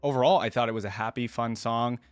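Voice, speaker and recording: A man's voice: mid-range voice, fast talker, clean tone, no echo. A man giving casual reaction commentary, talking informally and hedging as he thinks aloud. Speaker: video creator reacting to music.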